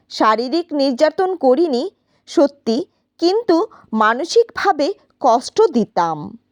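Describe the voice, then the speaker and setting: A woman's voice: high-pitched, no echo. A woman narrating a scripted first-person story in a dramatic, reflective manner.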